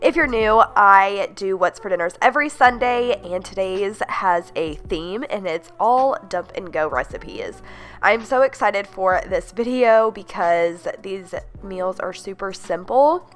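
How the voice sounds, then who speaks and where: A young woman with a congested, stuffed-up voice, chatting upbeat about easy slow-cooker recipes as a home cooking vlogger. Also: medium pitch, animated, a bit bright, faint music behind. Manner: upbeat, gushing, many "like"s and "you guys". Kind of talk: casual chatty recipe voice-over.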